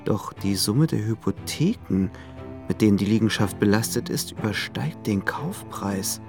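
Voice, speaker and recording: Low, creaky, moody young gentleman. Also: expressive, measured pace. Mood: troubled. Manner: musing, dismissive. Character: moody young gentleman, brooding over money. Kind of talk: radio play acting. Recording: music under the voice. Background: music.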